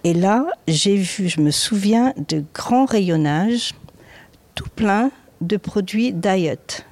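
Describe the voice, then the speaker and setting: A woman's voice: medium pitch, expressive, slow, a little mellow. A woman in her sixties from southern France, casually recalling her life in plain, colloquial words as an interviewee. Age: 60s. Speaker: restaurant chef interviewed. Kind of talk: casual spoken recollection.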